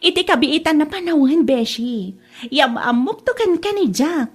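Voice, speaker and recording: Stubborn lovestruck young woman, female actor, comedy drama; high pitch, swinging intonation. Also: big pitch swings, moderate pace. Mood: playful, insistent.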